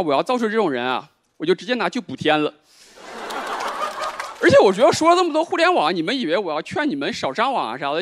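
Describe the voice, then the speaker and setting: A high-voiced man rattles through a stand-up set at a fast clip, his pitch leaping up and down. His punchlines land deadpan. Clear noise runs under his stage mic. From Northeast China. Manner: quick, animated deadpan punchlines. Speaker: stand-up comedian.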